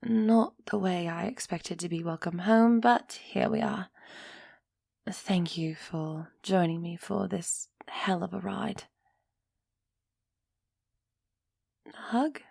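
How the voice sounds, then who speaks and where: A woman's voice: fairly low pitch, takes it slowly, vocal fry, darkish tone, breathy edge, no echo, clean studio sound, full character voice. A woman playing a young runaway elf heiress in an intimate roleplay audio drama, defiant yet anxious and affectionate, often sighing and sinking into whispers. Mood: weary, tender. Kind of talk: roleplay ASMR audio drama, close and breathy.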